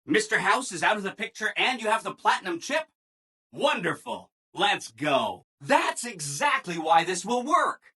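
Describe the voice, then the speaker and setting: An actor playing a relentlessly cheerful, eager-to-please robot assistant in a video game, chirpy and over-eager, with exaggerated emphasis. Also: male voice, sits high, big pitch swings, measured pace, bright, forceful tone, slightly rough, heavily acted. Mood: gleeful, eager. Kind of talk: animated video game character dialogue.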